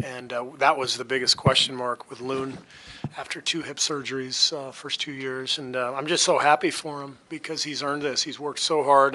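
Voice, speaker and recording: A man in his fifties, a basketball head coach, answering reporters' questions at a postgame press conference in a relaxed, halting way with many fillers. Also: mid-range voice. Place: press room before a sponsor backdrop. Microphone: table mic on a stand.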